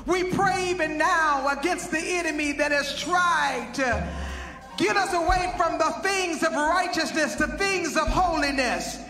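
A middle-aged woman preaches and prays with emphatic fervor. Her voice is bright and forceful, her delivery slow and deliberate, and it rings through a large, reverberant hall. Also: high voice. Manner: declamatory, emphatic. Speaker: altar call leader. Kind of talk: fervent sermon and prayer. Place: large convention hall, at podium. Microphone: handheld microphone. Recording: echoey large hall, music behind. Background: music.

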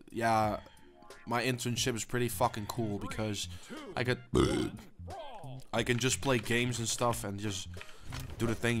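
A young man giving casual gameplay commentary, stammering and swearing, swinging between low mumbles and excited yelling. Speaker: gaming channel host.

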